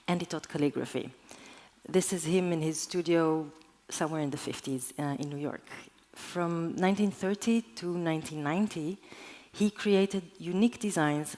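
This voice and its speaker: Hebrew-native woman in her twenties or thirties, lower pitch, earnest lecturing delivery. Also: a little mellow. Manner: earnest, explanatory, with occasional restarts. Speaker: conference speaker. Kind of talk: conference talk presentation. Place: conference hall.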